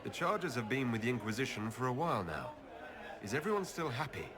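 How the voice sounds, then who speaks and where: A man playing a courteous elf leader in a video game, measured and inquiring. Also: mid-range voice, very animated, a crowd in the background, lightly acted. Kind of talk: video game character dialogue. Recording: clear background noise and ambience.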